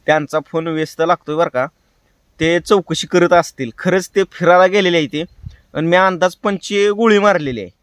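Guy w high smooth voice, village maharashtra marathi accent, chatty prank call host, dry close sound. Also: moderate pace, no echo. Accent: Marathi, rural Maharashtra. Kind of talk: casual commentary to viewers and prank calling.

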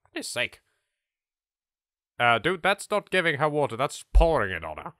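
A man's voice: on the high side, normal speed, dry recording, clean studio sound. A man with an English accent giving casual, chatty, reactive gameplay commentary as a let's play host. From England. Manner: animated, reactive, politely chatty. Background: game music.

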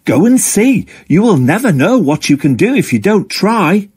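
A man's voice: sits high, very animated, normal speed, darkish tone, husky, voice pushed up, exaggerated voice. A man voicing a supportive female jellyfish friend in a children's storybook, with an encouraging, singsong delivery. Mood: encouraging, delighted. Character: gentle female jellyfish friend, supportive, bright, high-voiced. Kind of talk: children's storybook character reading.

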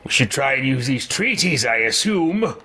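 A man voicing a blunt fantasy hero, reading game dialogue in character with deliberate, theatrical delivery. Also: medium pitch, very animated, pushed and bright, slightly rough, exaggerated voice. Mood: blunt, decisive.

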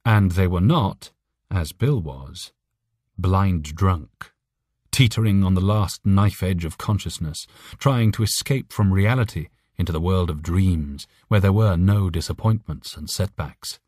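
A man narrating a short story for an audiobook in a husky voice, as a scripted reading. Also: low-pitched, darkish tone, dry recording, clean studio sound.

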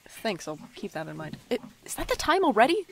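Creaky-voiced, wry young slacker played by a woman. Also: medium pitch, wildly swooping pitch, crowd noise. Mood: rushed, flustered. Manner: self-deprecating, dry asides, flustered when rushed. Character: wry, hapless, anxious twenty-five-year-old nonbinary slacker narrator. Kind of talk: audio drama narration and dialogue.

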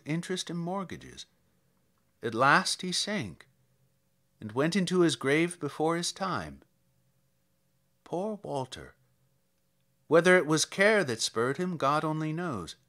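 A man voicing an old, reflective country priest in a dramatic verse reading, telling tales in a measured, plainspoken way. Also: a little high, a bit bright, full character voice.

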